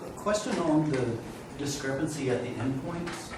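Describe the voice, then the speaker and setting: A man's voice: medium pitch, animated, moderate pace, background noise. Distant male student in echoey room. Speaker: student asking questions. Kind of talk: spontaneous classroom question. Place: lecture room, far from mic.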